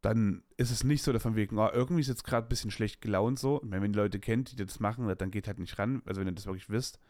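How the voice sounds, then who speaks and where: A man's voice: on the low side, quick pace, a little mellow, no echo, studio quality. A man in his late twenties hosting a podcast, talking openly in a rambling, candid way full of slang and restarts. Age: late 20s. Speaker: podcast host. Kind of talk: casual personal monologue.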